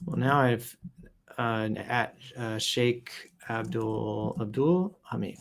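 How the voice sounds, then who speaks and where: A man's voice: mid-range voice, steady tone, slow pace. A man hosting a show, casually reading posts aloud and improvising commentary with playful announcer flourishes and wry asides.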